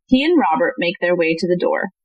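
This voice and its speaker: A woman's voice: fairly low pitch, lively intonation, a little fast, breathy edge, no room sound, dull audio. A woman giving scripted narration of stage directions as the narrator of an audio play.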